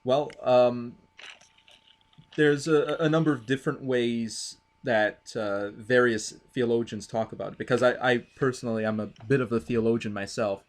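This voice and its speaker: A man explaining theology thoughtfully in conversation, deliberate and halting, with formal wording. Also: medium pitch, steady tone. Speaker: interviewer.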